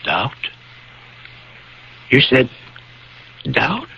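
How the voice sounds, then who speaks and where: Earnest, troubled male friend in radio mystery drama; creaky voice, very animated pitch, hesitant dramatic phrasing. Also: drawn-out words, heavily acted. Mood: urgent, pleading. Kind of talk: radio mystery drama acting and first-person narration. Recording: dull, noisy vintage radio broadcast.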